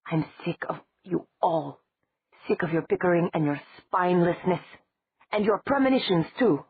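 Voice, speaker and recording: Low, husky woman doing a brooding young vampire man, curt and contemptuous, tinny dry read. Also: moderate pace, no room sound, exaggerated voice. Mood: furious. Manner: cold, clipped and scornful. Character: brooding young male vampire, cold and furious. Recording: dry, tinny phone-like sound.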